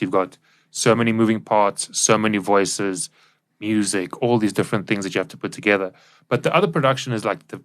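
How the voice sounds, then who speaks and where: A man with a lowish voice speaks as a podcast co-host. He explains his subject in a conversational, discussion style. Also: fairly bright tone.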